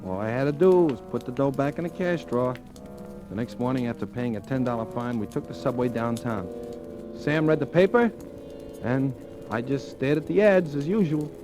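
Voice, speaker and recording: An actor playing an excitable, wisecracking American gambler telling his hard-luck story in colloquial vintage radio drama style. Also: mid-range voice, moderate pace, natural voice. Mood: wry narration. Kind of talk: 1940s-50s American radio drama acting. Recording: old radio recording with music.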